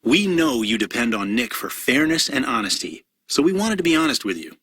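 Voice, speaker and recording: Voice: medium pitch, very animated, fairly quick, fairly bright tone, slightly rough, dry recording, studio quality. An announcer reading a scripted notice in an earnest, reassuring way.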